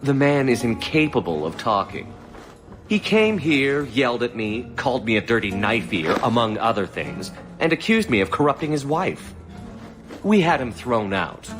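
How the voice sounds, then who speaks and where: Cocky, lively young elf courtesan. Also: male voice, medium pitch, normal speed, faint music behind. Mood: mocking. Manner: sly, teasing, sardonic. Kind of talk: dramatic video game dialogue.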